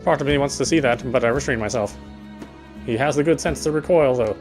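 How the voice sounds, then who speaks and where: A man's voice: medium pitch, brisk, over music. A man reading first-person visual novel text aloud as narration, stumbling now and then over words.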